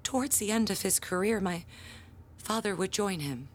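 An actress playing a proud, pompous handbell ringer, telling family lore in grandiose audio drama style. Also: female voice, mid-range voice, expressive, normal speed, slight background noise, lightly acted. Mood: solemn pride. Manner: grandiose, theatrical storytelling.

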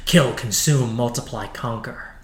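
A man's voice: medium pitch, unhurried, noisy background, natural voice. Guy reading a ruthless monster goddess from a story, bossy chanted commands, echoey. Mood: commanding. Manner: incantatory, imperious one-word commands.

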